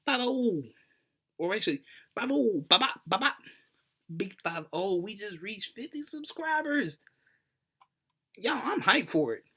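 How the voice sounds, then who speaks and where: A man in his twenties with an American accent, hosting a casual storytelling vlog in an animated, playful, slangy way. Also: sits high, measured pace, long pauses, breathy edge. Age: 20s. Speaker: YouTube host.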